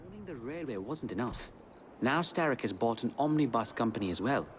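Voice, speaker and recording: A man's voice: mid-range voice, lively intonation, brisk, close to an everyday voice. A man plays an earnest Victorian ally in a game cutscene. His medium voice moves expressively over faint hiss. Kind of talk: video game cutscene acting.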